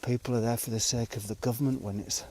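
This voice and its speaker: A man in his forties reminisces about his travels in a loose, wandering way. His voice sits at an ordinary mid pitch, and he often pauses on fillers and backs up mid-sentence. A faint background hiss runs under the recording. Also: fairly even intonation, a little mellow. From England. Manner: rambling, unhurried, frequent fillers and restarts. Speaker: traveller recounting his life. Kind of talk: casual spoken storytelling.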